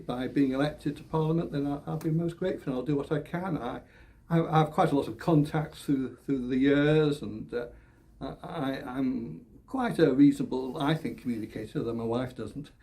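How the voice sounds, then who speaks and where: An older man from the north of England, a political candidate answering interview questions in a halting way, often repeating words, with small laughs. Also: medium pitch, warm tone. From England, born Leeds, raised Oldham. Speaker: election candidate.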